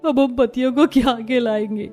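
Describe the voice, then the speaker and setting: Woman as anxious high-voiced young daughter-in-law. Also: fairly even intonation, normal speed, darkish tone, soft background music, close to an everyday voice. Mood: despairing. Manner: anxious, pleading. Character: worried, earnest young village daughter-in-law.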